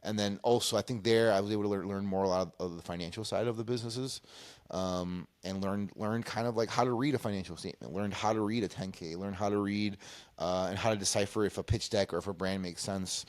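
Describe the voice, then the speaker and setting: A man from Peru speaking as an interview guest, casually recounting his career in a halting way, often circling back to rephrase. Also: fairly low pitch, steady tone, no room sound. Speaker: interview guest, cannabis industry consultant.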